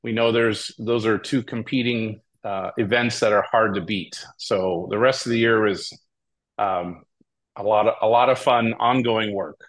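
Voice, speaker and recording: A man's voice: on the low side, fairly even intonation, a little slow, low-quality recording. A middle-aged man from Hawaii talking casually as an interview guest, telling stories. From Hawaii, United States. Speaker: podcast guest, tournament director. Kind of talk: casual interview talk, storytelling.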